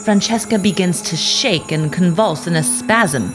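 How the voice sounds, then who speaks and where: Casting: female narrator, lowish voice, theatrical scripted storytelling, music underneath. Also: measured pace. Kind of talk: scripted dramatic audio drama narration.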